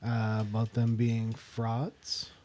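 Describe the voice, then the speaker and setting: A man with a mellow, warm voice hosts a relaxed podcast chat among friends. He talks at an unhurried middle pitch, stopping often on little fillers as he works through his intro. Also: muffled recording. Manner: hesitant, many filler pauses. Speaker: podcast host.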